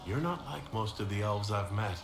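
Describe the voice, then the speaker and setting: A questioning male leader with dry humor and a low, lively voice. Music sits under a roomy game mix. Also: moderate pace. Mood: curious. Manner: curious questioning, dry wit.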